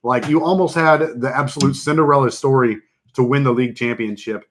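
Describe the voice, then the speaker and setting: A man with a deep voice, casually hosting a fantasy football draft stream, joking and rambling with lots of fillers. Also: steady tone, brisk. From Texas. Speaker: fantasy football league host. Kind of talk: casual live stream chat.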